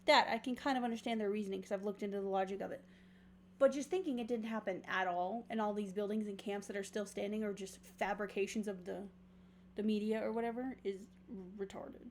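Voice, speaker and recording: A woman's voice: mid-range voice, steady tone, quick pace, slight background noise. A young adult woman co-hosting a podcast, chatting casually and asking her co-host questions. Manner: chatty, asks questions, steers the talk. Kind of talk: casual chat.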